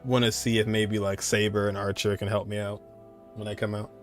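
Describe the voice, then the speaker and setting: A man's voice: fairly low pitch, little pitch movement, a little fast, faint music behind. A man streaming a video game, giving casual, laid-back live commentary in short muttered reactions.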